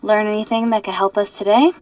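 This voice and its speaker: An actress playing a composed scientist in charge, matter-of-fact and dry in naturalistic film dialogue. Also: female voice, medium pitch, fairly even intonation, fast talker, darkish tone, lightly acted. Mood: guarded. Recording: faint music under dialogue.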